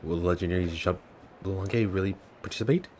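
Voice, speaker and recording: A man's voice: deep voice, a little fast, darkish tone, a little hiss or hum. A man streaming a game, casually commenting and reading dialogue aloud, sometimes stumbling over words.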